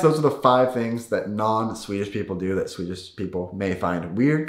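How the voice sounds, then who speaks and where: A man with an American accent talking casually to his viewers as a vlogger. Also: mid-range voice. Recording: roomy echo.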